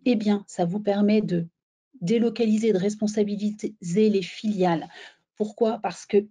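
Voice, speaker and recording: A woman presenting a software webinar in an explanatory, somewhat halting way, as if walking listeners through slides. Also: mid-range voice, breathy edge.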